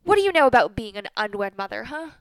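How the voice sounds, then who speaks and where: A woman's voice: fairly high pitch, very animated, fast talker, clean tone, dry recording. A woman playing a blunt, sardonic middle-aged wife with a bitter tongue in a radio play. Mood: scornful.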